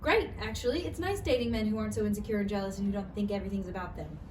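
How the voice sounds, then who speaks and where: A woman's voice: medium pitch, very fast, natural voice. A woman acting a guarded, exasperated writer facing her ex in a live table read, curt, sardonic and defensive. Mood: sharp, sardonic. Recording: distant mic, echoey room, noisy.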